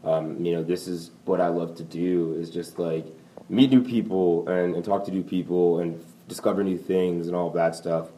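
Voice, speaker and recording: A young man with a deep baritone voice, talking casually as a podcast guest, rambling with many fillers. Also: steady tone, normal speed, dark tone, recorded from a distance, a little hiss or hum, rough recording. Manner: rambling, many fillers and "you know", laughs easily. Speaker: lounge owner, guest.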